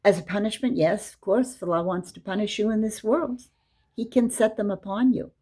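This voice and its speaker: A woman's voice: mid-range voice, a little fast, dark tone. A woman giving a casual religious lesson, explaining verses and reciting Arabic. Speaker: Quran teacher.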